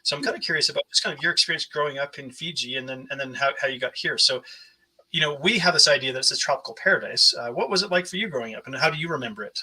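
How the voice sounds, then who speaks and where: A man's voice: mid-range voice, racing, sharp tone, breathy edge, rough recording. A man hosting a podcast interview, chatting casually and rambling with many fillers and restarts. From Canada. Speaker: podcast host.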